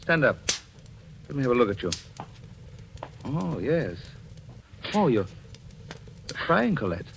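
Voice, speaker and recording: Suave, menacing husband, old radio suspense. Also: male voice, medium pitch, big pitch swings, takes it slowly, creaky, pauses often, slight background noise, heavily acted. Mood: sardonic, mocking. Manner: suave, commanding, sardonic. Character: cruel, menacing, controlling husband, coldly mocking his wife. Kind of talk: 1940s radio suspense acting. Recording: old radio broadcast with music behind.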